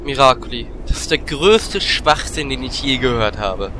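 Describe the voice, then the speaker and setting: A man's voice: medium pitch, expressive, normal speed, background noise, lightly acted. A man playing a cheeky, fearless little gnome warlock in a fantasy parody audio drama, brash and teasing. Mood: baffled.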